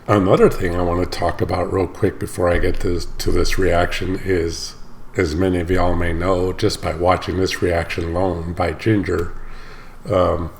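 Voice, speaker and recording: A man with a low, gravelly voice that is still slightly hoarse after an illness. He talks casually and rambles, often stopping to fix his own words. A faint hum of room noise sits under him. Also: little pitch movement, normal speed. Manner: rambling, stops often to correct himself. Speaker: reaction channel host. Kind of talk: casual talk to camera.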